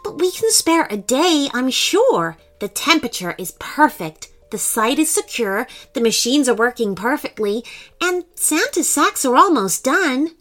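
A woman's voice: sits high, sing-song, measured pace, few pauses, fairly bright tone, voice pushed up, heavily acted. A woman voicing a confident, cheerful male elf leader in a children's story, upbeat and assured. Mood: reassuring.

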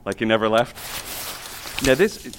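A man's voice: medium pitch, lively intonation, unhurried, close to an everyday voice. Folksy chatty mayor guy man acting it, voice bounces around, rambly audio drama, faint noise. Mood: chatty, welcoming. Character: chatty, folksy small-town mayor, eager booster of local shops.

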